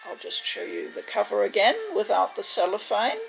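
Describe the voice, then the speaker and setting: Creaky-voiced woman chatting through an unboxing. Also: mid-range voice, normal speed, music in the background, rough recording. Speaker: video game unboxing host. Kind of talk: casual unboxing commentary. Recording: faint background noise.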